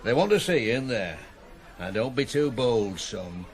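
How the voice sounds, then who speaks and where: A man's voice: mid-range voice, sing-song, moderate pace. A male actor playing a dry, fatherly farm foreman who cautions and teases in a radio drama. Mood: encouraging, advising. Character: wry, fatherly farm foreman mentoring a boy.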